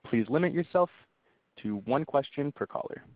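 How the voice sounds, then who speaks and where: Tinny telephone audio, male call operator, medium pitch, scripted instructions, formal procedural delivery. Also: animated, moderate pace, no echo. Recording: tinny phone-line audio.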